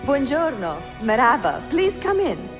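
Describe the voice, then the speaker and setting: An actress playing a curious, poised Italian bookseller in a video game cutscene, with an Italian accent and a teasing, refined manner. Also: female voice, high-pitched, sing-song, measured pace, music in the background. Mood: welcoming, surprised.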